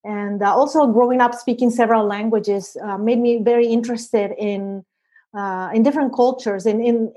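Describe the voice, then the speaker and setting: A woman's voice: on the high side, mellow. A middle-aged woman from Venezuela with a Venezuelan Spanish accent, a psychologist answering interview questions thoughtfully, with many fillers and restarts. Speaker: psychologist guest.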